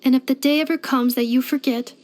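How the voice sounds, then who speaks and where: A woman's voice: sits high, fairly even intonation, a little fast, darkish tone, lightly acted. A woman voicing a polite, devoted household robot companion in a video game, speaking in formal, precise, computer-like phrasing. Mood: tender, devoted. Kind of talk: scripted video game character voice lines.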